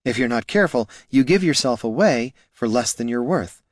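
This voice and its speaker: A man voicing a weary veteran female elf ranger who gives calm, wry advice in a storybook reading. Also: medium pitch, moderate pace, dry recording, clean studio sound, natural voice.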